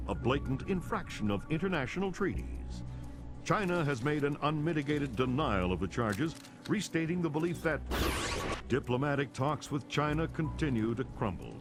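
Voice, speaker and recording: A television news anchor in a game cutscene. His formal, urgent report moves with a lively intonation, mid-pitched, over clear background music. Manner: formal, grave.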